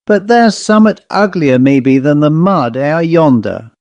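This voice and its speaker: A man voicing a stalwart, blunt village blacksmith who speaks in rough rustic dialect to his squire. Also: a little high, animated, measured pace, darkish tone, no echo, highs cut off, pitched above the performer's own voice. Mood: grimly insistent. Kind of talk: audiobook character reading.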